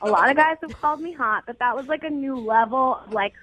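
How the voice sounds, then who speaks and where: High-voiced, self-assured young American woman caller. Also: fast talker, a bit bright. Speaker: radio show caller. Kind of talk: casual chatty storytelling. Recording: dull lo-fi phone call.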